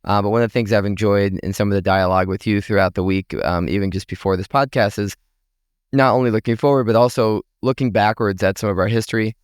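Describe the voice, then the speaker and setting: A man's voice: fairly low pitch, fast, clear, resonant tone, no echo, studio quality. A man hosting a podcast, interviewing a guest with friendly prompting questions. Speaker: podcast host. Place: conference exhibition booth.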